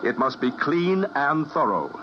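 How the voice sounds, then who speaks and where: A man's voice: on the high side, sing-song, measured pace, muffled recording. A man playing a precise, instructive physicist operating a time machine, in a 1950s radio drama on a hissy recording.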